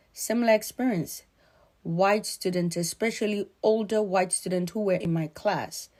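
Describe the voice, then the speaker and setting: A middle-aged woman from Ghana giving earnest, measured, emphatic spoken commentary. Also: fairly low pitch, a little mellow.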